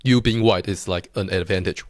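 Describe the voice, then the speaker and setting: A man interviewing a guest, asking brief, relaxed questions. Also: low-pitched, moderate pace, no room sound.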